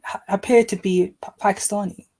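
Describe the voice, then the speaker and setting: A woman's voice: mid-range voice, fairly even intonation, fairly quick, dark tone. A woman on a live panel debate, speaking hesitantly with many "you know"s and restarts while she keeps asking for a chance to speak. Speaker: panel guest.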